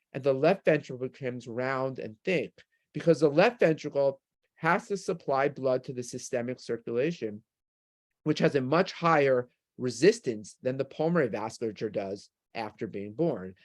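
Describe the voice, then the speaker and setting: A man with a New York accent giving a medical lecture with slides, explaining things step by step and posing rhetorical questions. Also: mid-range voice.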